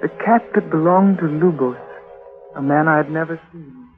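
A woman's voice: low voice, moderate pace, lightly acted. An actress playing a tormented, haunted young woman in an anguished 1940s radio mystery style. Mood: haunted, weary. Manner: anguished, melodramatic. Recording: muffled vintage radio recording with music.

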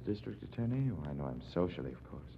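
An actor playing an affable, slightly wary theater producer, chatty and wry, in a 1940s radio drama. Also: male voice, low voice, sing-song, rapid-fire, gravelly, full character voice. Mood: guarded. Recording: echoing, muffled 1940s radio recording with hiss.

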